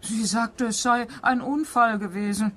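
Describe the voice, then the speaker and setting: A woman's voice: on the high side, steady tone, measured pace, close to an everyday voice. A woman playing a gossipy country-house housekeeper in a German mystery radio play, telling things in a confiding, dramatic way.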